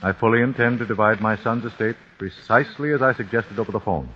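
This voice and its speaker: A man's voice: fairly low pitch, fast talker, natural voice. An actor playing a grim father avenging his son, formal and icily polite, in 1940s radio acting. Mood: calm, courteous. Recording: dull lo-fi old radio recording with faint hiss.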